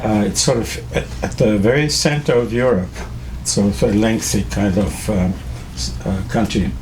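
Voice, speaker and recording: An older man from Czechoslovakia whose first language is German, telling students about his early life in a halting way, with many fillers and restarts. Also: lowish voice, fairly even intonation, background noise. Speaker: Holocaust survivor speaking to students. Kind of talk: testimony to a school class.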